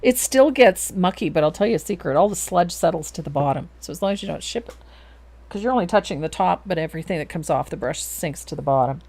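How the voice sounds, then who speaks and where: A woman in her fifties hosting a live painting lesson, calmly explaining each step and laughing often. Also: fairly low pitch, quick pace. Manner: calm step-by-step instruction with frequent laughs. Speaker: painting instructor and host.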